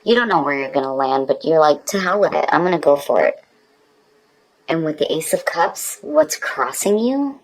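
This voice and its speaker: A woman's voice: deep voice, animated, normal speed, a little hiss or hum, poor audio. A woman speaking as a tarot reader in a casual, conversational style, with an informal, emphatic manner.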